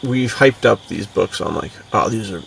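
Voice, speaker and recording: A man with a gravelly, creaky voice, talking as a podcast co-host in a casual, enthusiastic, slangy way. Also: on the low side, animated, moderate pace, distant mic, slight background noise.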